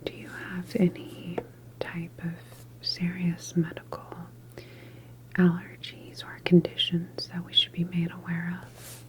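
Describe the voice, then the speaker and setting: A woman whispering in a breathy, calm and reassuring clinical manner, as an ASMR doctor roleplay. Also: fairly low pitch, takes it slowly, low-quality recording. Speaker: ASMR performer playing a doctor in a medical roleplay.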